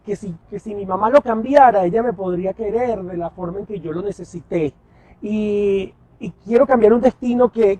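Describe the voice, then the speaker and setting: A man, a guest therapist on a podcast, explaining his ideas slowly and reflectively and often checking in with "right?". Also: high-pitched, a little mellow, no echo. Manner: reflective, explains slowly with many "¿verdad?" tags. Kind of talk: podcast interview. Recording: dull lo-fi sound with faint noise.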